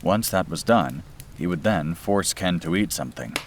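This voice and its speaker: A man's voice: sits low, animated, normal speed. A man reading a scripted bedtime story as its narrator. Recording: clear background noise and ambience.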